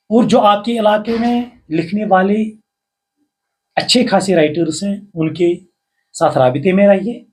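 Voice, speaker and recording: Older Kashmiri man, formal and measured. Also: sits high, darkish tone. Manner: formal, measured, slow recitation with rising emphasis. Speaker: poet and writer, interview guest. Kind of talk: reciting verse, then earnest advice.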